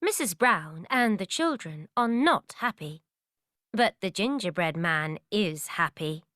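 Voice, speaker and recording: A woman narrating a scripted children's story for young learners in deliberate, simple phrases. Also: on the low side, expressive, a bit bright, no room sound, clean studio sound.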